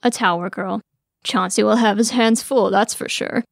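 A woman narrator plays an older king, a hearty father figure in a storybook. He talks with genial grandeur in a dry, slightly creaky voice. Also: medium pitch, fairly even intonation, normal speed, dry recording, close to an everyday voice. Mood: amused. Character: hearty, blustering royal father, older man.

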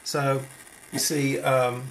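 A man's voice: mid-range voice, little pitch movement, slow, a little hiss or hum, low-quality recording. A man giving an informal hands-on electronics demonstration, explaining as he goes and thinking aloud. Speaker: electronics tutorial presenter. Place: at a desk indoors.

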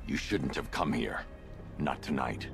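An actor playing a grim, stern vigilante hero in a video game, terse and commanding with a gravelly voice. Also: male voice, measured pace, music in the background, heavily acted. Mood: stern, controlled. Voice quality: deep, gravelly.

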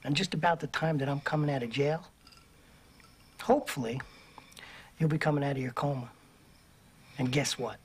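A man's voice: on the high side, fairly even intonation, moderate pace, long pauses, slight background noise, lightly acted. A man playing a volatile, hot-tempered gangster who talks in profane threats, in film audio. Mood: menacing calm. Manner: profane, threatening, sudden explosive outbursts. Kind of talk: film drama acting.

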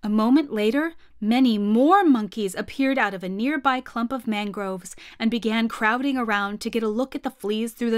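A woman's voice: fairly high pitch, dry recording, clean studio sound. A woman, narrating a children's book expressively. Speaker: storyteller. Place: small home room with bookshelves.

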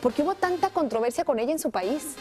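A woman from Mexico hosting a TV news show, chatting briskly with a co-host. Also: high voice, animated, mellow, faint music behind. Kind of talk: TV news chat. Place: TV studio.

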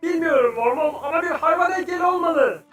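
High sharp little cartoon creature, teasing n lively, echoey with faint music. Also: female voice, fast. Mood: upbeat. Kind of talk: children's educational cartoon dialogue. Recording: roomy, distant sound with faint music.

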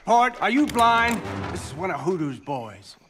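An actor playing a suspicious, commanding resistance leader and war veteran in a game. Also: male voice, high-pitched, big pitch swings, measured pace, fairly bright tone, faint music behind, heavily acted. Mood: suspicious anger. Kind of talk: video game western acting.

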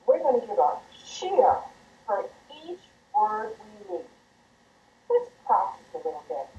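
A woman hosting a children's reading lesson, talking slowly and warmly encouraging the young viewers. She sounds far from the mic in a roomy space. The old videotape audio is dull and muffled. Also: on the high side. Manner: slow, encouraging, leads cheers. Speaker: children's reading teacher presenting a show. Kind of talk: scripted address to children. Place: indoor studio set with plant backdrop.

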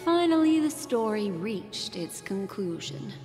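A woman voicing a mysterious fortune-teller in a video game, telling a slow, soothing bedtime-story recap. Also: mid-range voice, very animated. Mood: soothing, enigmatic. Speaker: game character narrating a recap. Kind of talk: video game cutscene voice acting. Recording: game audio with long reverb and music.

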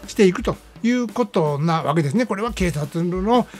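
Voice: mid-range voice, lively intonation, normal speed, darkish tone. A radio host with a Kansai Japanese accent, chatting casually through a movie review in an explanatory, conversational way. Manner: conversational, explanatory, frequent "ne" tags. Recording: music playing behind the voice. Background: background music.

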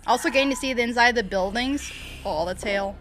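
A woman hosting a reaction video, giving casual, expressive commentary with frequent exclamations. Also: fairly high pitch, fast talker. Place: own room. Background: music from the watched animation.

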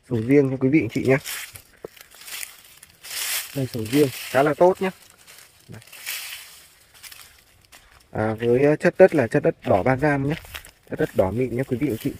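A male property agent talks viewers through a piece of farmland in a polite sales manner, at a middling pitch. He is outdoors on a handheld phone, with faint noise behind his voice. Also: normal speed, pauses often, darkish tone. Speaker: real estate agent. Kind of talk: walk-through property tour narration. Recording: clear background noise, outdoor phone video.

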